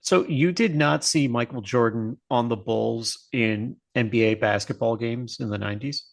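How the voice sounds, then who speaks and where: A man's voice: mid-range voice, normal speed, vocal fry. A man co-hosting a podcast, reacting in casual conversation. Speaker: podcast co-host.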